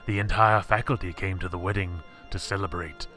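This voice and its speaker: A man's voice: deep voice, fairly even intonation, fairly quick, sharp tone, faint music behind. A man narrating a scripted horror story with dramatic storytelling delivery.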